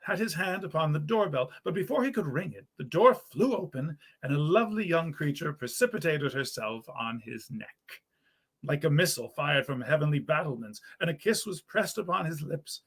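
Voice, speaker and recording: Man reading a story, slightly high voice. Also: animated, moderate pace, fairly bright tone, slightly rough. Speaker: actor reading a story aloud. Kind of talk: theatrical storytelling of 19th-century literature. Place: period parlor in a historic house.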